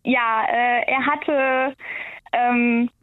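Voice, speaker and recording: A woman's voice: fairly high pitch, steady tone, drawn-out words. A woman calling in by telephone, casually recounting something in conversation. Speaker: caller. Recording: tinny narrow phone line.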